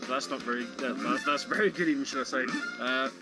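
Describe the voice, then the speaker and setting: A man's voice: medium pitch, quick pace, low-quality recording. A man giving casual, explanatory live commentary on a video game, speaking a little hesitantly as he goes. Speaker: speedrun commentator. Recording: loud music behind the voice. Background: video game music.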